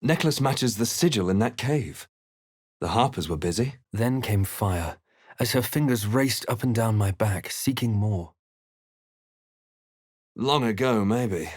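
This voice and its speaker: A man playing a composed, wry adventurer hero in a video game, telling events in a measured, literary way. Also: lowish voice, animated, darkish tone, dry recording, clean studio sound. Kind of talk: scripted video game narration and battle lines.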